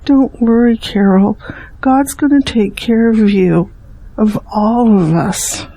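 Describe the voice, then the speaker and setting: Woman acting comforting loyal friend, audio drama, unhurried pace, warm relaxed tone, caring. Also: on the high side, a little hiss or hum, rough recording.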